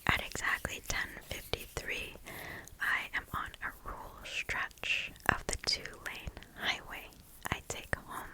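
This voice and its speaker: A woman whispering softly and close to the microphone, reading stories aloud in an ASMR style with hushed, deliberate phrasing and pauses. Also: low-quality recording. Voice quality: soft unvoiced whisper.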